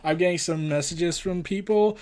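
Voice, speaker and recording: A man with a notably high-pitched voice hosts a casual news roundup. He rambles through his commentary in a scattered, halting way, often getting tongue-tied and circling back to find his place. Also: darkish tone, slight background noise. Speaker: host of a comics and entertainment news show.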